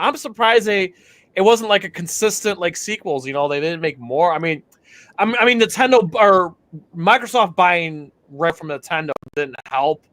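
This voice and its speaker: A man's voice: sits high, fairly bright tone. A man hosting a casual podcast chat about games and movies, talking eagerly and laughing often. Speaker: podcast host.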